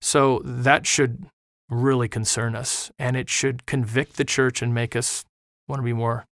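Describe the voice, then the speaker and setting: A man's voice: mid-range voice, flat delivery, unhurried, fairly bright tone, dry recording, studio quality. A middle-aged missionary man in an earnest podcast conversation, talking with preacher-like passion and repeating words as he drives points home. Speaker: missionary guest.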